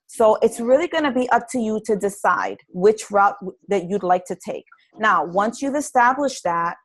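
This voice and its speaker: A woman leading a live conference-call training for new fitness business coaches, talking earnestly and encouragingly with frequent ums and restarts. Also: mid-range voice.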